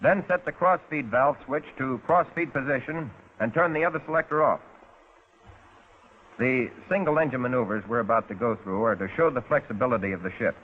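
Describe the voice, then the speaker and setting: An American man narrating a 1940s instructional film in a measured, precise, instructive way, as a flight instructor would. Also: mid-range voice. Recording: tinny narrow-band 1940s film soundtrack.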